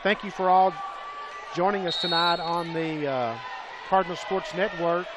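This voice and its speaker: A man calling a college volleyball match play by play, excitable and raising his voice on big plays. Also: high voice, a little slow. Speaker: play-by-play commentator. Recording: crowd and gym noise behind.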